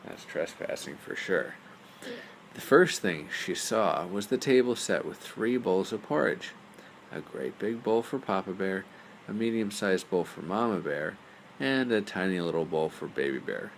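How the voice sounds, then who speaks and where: A man's voice: low-pitched, animated, measured pace, rough recording. A man narrating a bedtime story aloud as a storyteller. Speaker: storyteller, father reading aloud. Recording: faint background noise.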